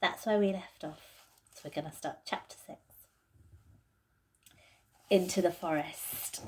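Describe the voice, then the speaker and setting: A woman reading a children's storybook aloud in a deliberate, expressive way, like a teacher to pupils. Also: lowish voice, a little hiss or hum.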